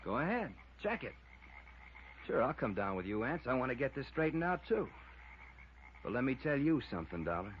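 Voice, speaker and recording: A dull, faintly noisy old radio recording holds a short-tempered boathouse owner acted by a man. He talks fast and defensively, in the style of 1950s radio crime drama. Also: mid-range voice, sing-song, natural voice. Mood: defiant.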